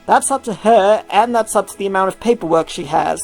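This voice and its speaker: A woman's voice: medium pitch, fairly quick, creaky, a little mellow, soft background music. A woman voicing an easygoing young male rock star in a story reading. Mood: doubtful, concerned.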